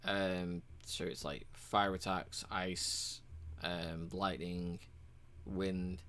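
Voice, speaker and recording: Man, fairly low voice, casual game walkthrough voice-over, relaxed, filler-heavy. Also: extremely slow. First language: English. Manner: relaxed, rambling, frequent ums and uhs. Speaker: game reviewer.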